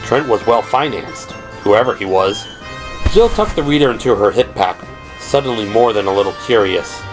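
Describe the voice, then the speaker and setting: A man's voice: on the low side, lively intonation, moderate pace, low-quality recording. A man narrating an audio drama from a script in a dramatic, storytelling style. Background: music.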